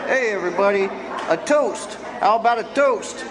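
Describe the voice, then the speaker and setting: A man's voice: high-pitched, expressive, normal speed, crowd noise, rough recording. A man acting in a live community radio play, cheerfully voicing a younger brother. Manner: cheerful, toasting. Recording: live stage with audience.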